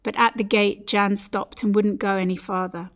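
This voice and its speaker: Woman, gravelly fry in the voice, reading fiction aloud, mid pitch. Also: fairly even intonation, moderate pace. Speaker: reader at a literary reading event. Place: café at a live-streamed reading event.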